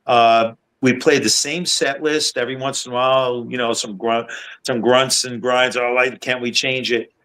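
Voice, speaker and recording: A middle-aged man talks at an ordinary pitch for a man. He rambles through anecdotes, doubling back and restarting his sentences. His tone is that of a casual interview guest. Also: fairly even intonation, moderate pace, fairly bright tone, rough recording. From Long Island, New York. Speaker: guest, tribute band singer.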